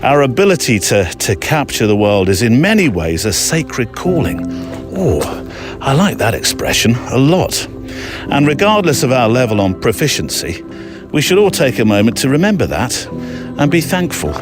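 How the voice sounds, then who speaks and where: A man's voice: mid-range voice, big pitch swings, moderate pace, over music. A man hosting a podcast in a reflective, chatty way, breaking into his own sentences as he talks or reads aloud. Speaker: podcast host. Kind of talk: conversational hosting and reading listener letters aloud.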